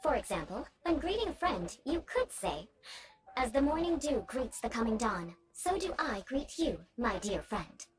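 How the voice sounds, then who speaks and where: A woman's voice: on the high side, very animated, moderate pace. An actress voicing a haughty, prim young noblewoman in a video game, speaking formally and imperiously with ornate phrasing. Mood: prim lecturing. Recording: game music behind the voice.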